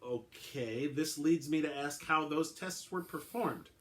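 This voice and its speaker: A man's voice: on the high side, a little slow, voice pushed up, natural voice. A man voicing a weary, blunt woman police detective with a dry, sarcastic, clipped manner.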